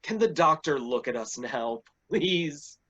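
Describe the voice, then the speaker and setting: A grumpy, bumbling police chief played by a man in a slightly muffled recording. His voice sits fairly high and whines along in a comic stage play. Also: lively intonation, slow pace, fairly bright tone, heavily acted. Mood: whiny, anxious. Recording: slightly dull, lo-fi sound.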